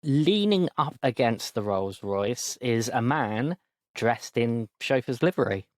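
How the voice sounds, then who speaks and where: A man narrating as game master in a relaxed, descriptive way. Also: mid-range voice, slow, no room sound, studio quality.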